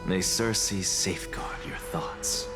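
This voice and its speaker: A man playing a haughty, sharp-tongued professor in a video game, lecturing in an imperious, deliberate way. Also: deep voice. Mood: stern, lecturing. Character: haughty, sharp-tongued male professor, stern mentor to a student. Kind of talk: scripted game voice acting. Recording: music behind the voice.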